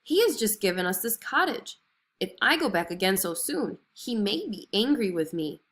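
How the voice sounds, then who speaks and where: A woman's voice: medium pitch, sing-song, normal speed, fairly bright tone. A woman voicing a meek, anxious fisherman husband in a fairy tale, timid and hesitant. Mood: timid reluctant.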